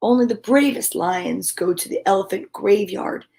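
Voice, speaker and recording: A woman's voice: mid-range voice, normal speed, gravelly, warm tone, lightly acted. A woman voicing a jealous, scheming male lion villain in a storybook, with a sly, menacing manner.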